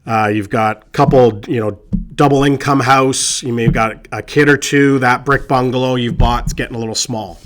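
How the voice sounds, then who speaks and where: A man talks through buying advice in a relaxed, conversational way, with plenty of "um"s and "you know"s. His voice is hoarse from a lingering cold. He sits at a mid pitch and sounds easygoing and reassuring. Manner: conversational, chatty and reassuring, with many fillers. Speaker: real estate agent. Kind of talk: casual advice talk to camera. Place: indoors with a colleague present.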